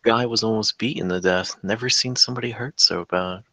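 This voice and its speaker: A man's voice: on the low side, a little fast, sharp tone, dry recording. A man playing a weary, principled working mother in a plain, subdued drama script reading. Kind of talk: table read of a TV drama script.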